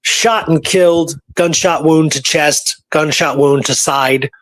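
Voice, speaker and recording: Male co-host, higher register, casual and emphatic history talk, dry recording. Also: fairly even intonation, takes it slowly, a bit bright, no room sound. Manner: emphatic, repeats points for stress. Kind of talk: casual conversational history discussion.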